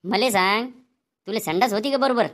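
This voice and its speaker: A man's voice: high-pitched, normal speed, fairly bright tone. A man voicing a rude, bragging male quack doctor in a comedy cartoon, scolding in a Vidarbha Marathi accent. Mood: bossy. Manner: brusque, scolding, boastful.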